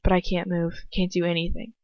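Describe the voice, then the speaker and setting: A woman's voice: lowish voice, level tone, a little fast, a little mellow, no echo, dull audio. A woman narrating a first-person story aloud in an informal way, stumbling and slipping into asides. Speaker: amateur fan fiction reader.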